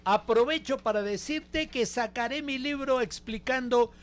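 An actor playing a pompous, grudge-bearing football pundit with emphatic speech in a radio comedy. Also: male voice, very high voice, measured pace. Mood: pompous, scornful. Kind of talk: comic radio impression.

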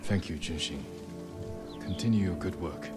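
A man's voice: sits low, moderate pace, loud music behind the voice, close to an everyday voice. A man playing a solemn, honourable samurai lord in a video game, restrained and grave. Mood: calm, courteous. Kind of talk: dramatic video game acting.

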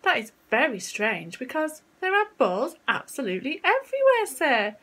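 A woman's voice: sits high, wildly swooping pitch, normal speed, a bit bright, voice pushed up, exaggerated voice. Woman playing a cheeky, mock-innocent schoolgirl. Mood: feigned incredulity. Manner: mock-innocent, feigning sympathy and incredulity.

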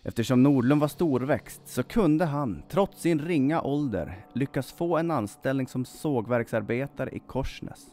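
A man in his thirties reading a scripted true-crime story in a measured, dramatic storytelling manner. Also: mid-range voice, expressive, dark tone. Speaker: storyteller, channel host. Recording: music under the voice. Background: background music.

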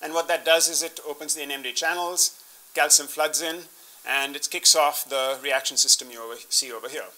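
Man in his fifties, very bright sharp voice, slightly high pitch, scientific lecture, halting restarts. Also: fairly even intonation, low-quality recording. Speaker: keynote speaker, researcher. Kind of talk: scientific conference talk, explaining slides. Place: indoor conference room, standing at a podium with laptop.

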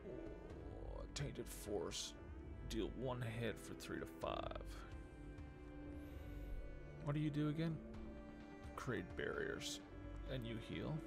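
Male game streamer, thirties, heavy vocal fry, lively pitch, casual muttered play-by-play. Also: slow, long pauses. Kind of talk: casual gameplay commentary, thinking aloud. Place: small room at home, indoors. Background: game music.

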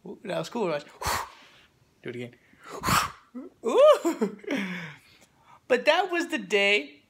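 A man's voice: very high-pitched, wildly swooping pitch, slow pace, vocal fry, a bit bright, husky. A young man with a cold, coughing now and then, chatting casually and joking on a handheld phone vlog at home and in a car. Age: young adult. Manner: joking, laid-back, laughs often. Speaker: vlogger. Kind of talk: casual couple vlog chat. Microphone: handheld phone camera.

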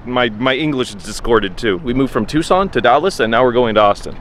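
A man in his thirties hosting a casual vlog, talking to a handheld camera outdoors and then from inside a pickup truck, joking and rambling excitedly. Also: mid-range voice, brisk. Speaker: vlogger, reptile-hunting channel host. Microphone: handheld camera at arm's length. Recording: noisy outdoor and road sound.

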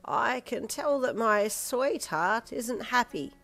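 A woman's voice: on the high side, expressive, slow, fairly bright tone, voice pushed up, exaggerated voice. A woman doing a slurring, drunk old dad. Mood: blunt drunk. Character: drunk, blunt, cheerful old father.

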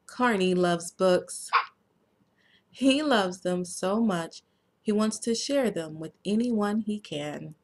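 An American woman reading a children's storybook aloud as a narrator, in a deliberate, expressive read-aloud manner. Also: mid-range voice. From United States. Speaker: children's author reading own book.

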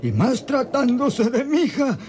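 Radionovela role: dying-sounding old Mexican patriarch, very high, wailing, melodramatic, delirious. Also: male voice, very animated, unhurried, darkish tone, exaggerated voice. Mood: defiant, furious. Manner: melodramatic, moaning, rambling. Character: delirious feverish old ranch patriarch, anguished, defiant father. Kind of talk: old Spanish-language radionovela acting. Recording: noisy old radio drama recording.

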